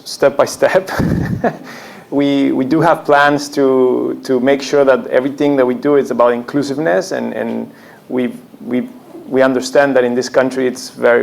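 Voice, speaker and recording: A man who founded a company, answering an audience question on a panel with a halting manner, often restarting his sentences. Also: mid-range voice, vocal fry. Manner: halting, many restarts, soft laughs. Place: conference room, before an audience.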